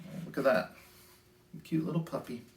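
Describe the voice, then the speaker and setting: A man hosting a children's storytime, reading picture books aloud in an expressive, sing-song way with drawn-out phrases. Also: mid-range voice, a little hiss or hum. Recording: roomy, echoey indoor sound.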